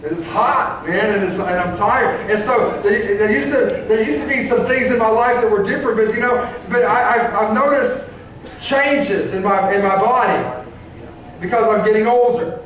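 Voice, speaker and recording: A man in his forties preaches from the pulpit in a high, conversational voice, stumbling into restarts and checking in with his listeners. He sounds distant, in a strongly echoing church. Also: animated, a bit bright, a little hiss or hum. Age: 40s. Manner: halting, many restarts and stammered repeats; conversational, asks the congregation questions. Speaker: pastor. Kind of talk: sermon. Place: church sanctuary. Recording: very echoey church, voice from far off.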